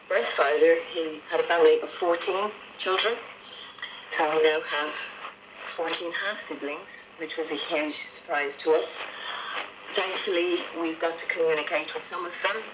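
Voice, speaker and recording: A middle-aged woman recounting her personal story as a radio interview guest, heard over shortwave. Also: on the low side. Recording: noisy, crackly shortwave radio reception.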